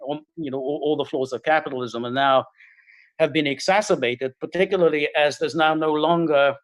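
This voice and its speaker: Middle-aged guy from hungary, video call, lots of ums and restarts, kinda high voice, dry sound. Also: no room sound. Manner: halting, many fillers and restarts. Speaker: guest. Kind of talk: casual interview talk.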